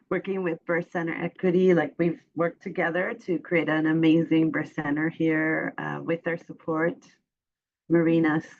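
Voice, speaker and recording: Creaky-voiced woman midwife, muffled audio. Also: low voice. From Puerto Rico. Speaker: midwife, panelist. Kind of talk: casual self-introduction. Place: online video call.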